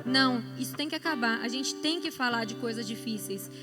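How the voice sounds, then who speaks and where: A woman with a São Paulo accent talks firmly and urgently on a panel. Her voice sits high. Soft music plays underneath. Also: fairly quick. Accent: São Paulo Brazilian Portuguese. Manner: firm, exhorting. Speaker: panelist at church youth service. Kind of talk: conversational church panel talk.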